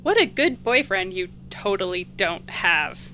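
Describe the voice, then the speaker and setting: An actress playing an earnest, anxious young woman in an audio drama, informal and wry, sometimes halting. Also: mid-range voice, sing-song, bright, forceful tone, slight background noise. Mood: teasing, nervous.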